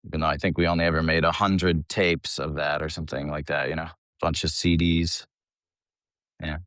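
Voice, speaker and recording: Deep, smooth-voiced man chatting casually. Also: little pitch movement, normal speed, fairly bright tone, no room sound, studio quality. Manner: laid-back, sweary, slangy. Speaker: interview guest, band vocalist. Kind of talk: casual chat.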